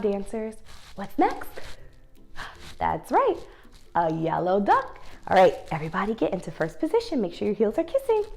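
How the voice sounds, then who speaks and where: Woman, children's ballet teacher, highly expressive swooping pitch, slow pace, encouraging, music behind. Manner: encouraging, instructive, sing-song questions to children. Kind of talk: read-aloud story time and dance lesson for young children.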